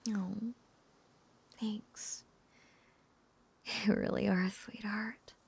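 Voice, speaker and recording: A woman playing a lovesick, possessive, lonely witch in an intimate audio roleplay, coaxing and halting in a breathy whisper. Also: mid-range voice, level tone, heavily acted. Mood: tender, coaxing.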